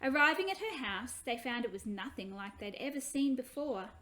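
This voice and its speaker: A woman reading her own children's book aloud in an expressive, animated way. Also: fairly high pitch, normal speed.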